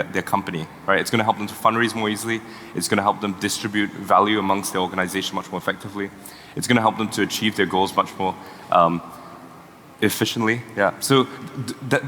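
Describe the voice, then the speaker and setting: A startup co-founder from Singapore speaking in a fireside chat on stage, pitching fluently but restarting words now and then. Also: male voice, a bit bright. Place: event hall. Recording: reverberant hall with faint noise.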